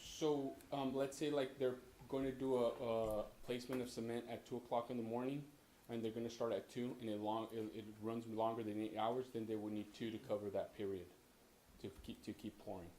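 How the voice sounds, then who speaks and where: Mellow-voiced man in an echoey room. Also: medium pitch, little pitch movement, brisk. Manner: plain, explains with examples. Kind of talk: explaining at a meeting. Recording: echoey room with faint background noise.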